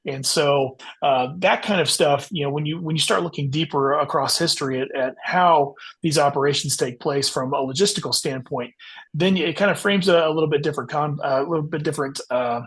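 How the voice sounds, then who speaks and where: A man speaking as an author guest in a casual podcast interview, explaining thoughtfully and haltingly as he corrects himself. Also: on the high side, a bit bright, breathy edge.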